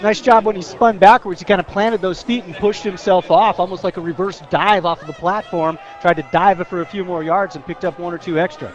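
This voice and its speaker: Man, American, high voice, conversational football color commentary, partisan, muffled lo-fi broadcast. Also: fairly even intonation, a little fast, hardly any pauses. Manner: conversational, partisan for the home team. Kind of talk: live football analysis between plays. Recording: dull lo-fi sports radio broadcast.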